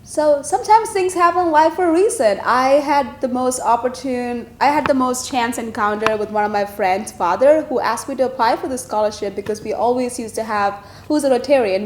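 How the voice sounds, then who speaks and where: A woman's voice: fairly high pitch, a little fast, few pauses, darkish tone, echoey room, slight background noise. A woman from India giving an earnest, fluent interview answer with occasional fillers. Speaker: peace fellow.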